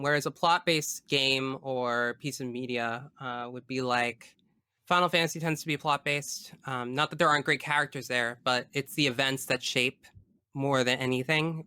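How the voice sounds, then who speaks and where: A man's voice: mid-range voice, bright, forceful tone, clear, resonant tone, no echo. A man in a casual podcast discussion as the guest, explaining his views earnestly and analytically at length.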